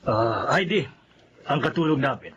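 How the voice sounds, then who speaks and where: A man's voice: medium pitch, lively intonation, moderate pace, a bit bright, slight background noise, lightly acted. An actor playing a concerned, fair-minded father and household master with a Filipino accent in a radio drama. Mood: considerate.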